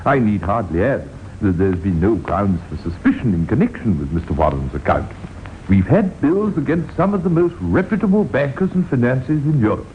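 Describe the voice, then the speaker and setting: Anxious bank official, swooping intonation. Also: male voice, fairly low pitch. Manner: formal, slightly stammering, earnest. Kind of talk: 1940s radio acting. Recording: muffled, noisy old radio recording.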